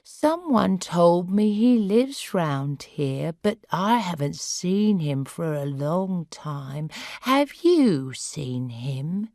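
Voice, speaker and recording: A woman voicing a confused, forgetful elderly woman who talks slowly and gets upset easily. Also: on the low side, sing-song, darkish tone, no echo, studio quality, full character voice. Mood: vague. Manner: slow, wandering, easily upset.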